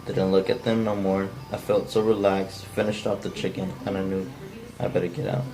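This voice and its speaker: A man's voice: low-pitched, flat delivery, normal speed, mellow, faint music behind, rough recording. A non-actor man cold-reading the part of an edgy, blunt, folksy killer in a script table read. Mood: matter-of-fact confession. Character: edgy, cold-blooded man confessing to killing. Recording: faint background noise.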